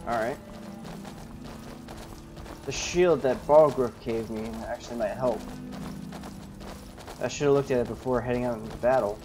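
A man's voice: mid-range voice, moderate pace, pauses often. A man giving in-character gameplay commentary as he roleplays an adventurer in a video game. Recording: voice over game music. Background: video game music.

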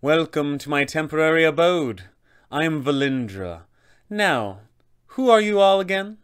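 A man voicing a haughty, scheming elven lich sorceress in a formal, measured, theatrical manner, for a tabletop game villain. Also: on the high side, lively intonation, clear, resonant tone, pitched above the performer's own voice. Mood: coolly welcoming.

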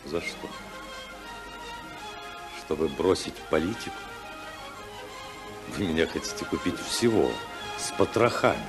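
An actor playing a principled, defiant congressman in a 1950s radio play, speaking in a measured, ironic, indignant way. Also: rumbling low, animated. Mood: wary, ironic. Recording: 1955 radio recording, faint music.

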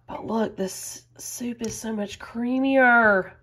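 A woman's voice: a little high, slow, bright, forceful tone. A woman, chatting casually as she cooks in her home kitchen. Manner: chatty, enthusiastic, says y'all often. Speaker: cooking vlogger. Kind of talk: casual cook-along chat.

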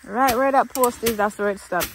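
A woman's voice: fairly high pitch, fairly quick, a little hiss or hum. A young woman, chatting casually outdoors as she vlogs around her farm. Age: young adult. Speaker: vlogger showing her farm. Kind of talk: casual outdoor vlog chat. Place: outdoors in a grassy goat pasture. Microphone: handheld phone camera.

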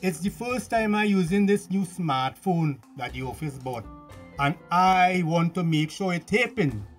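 An actor with a Tobagonian accent playing a pompous, badgering police officer interrogating someone in a radio drama. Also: male voice, high-pitched, lively intonation, measured pace, faint music behind. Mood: insistent, accusing.

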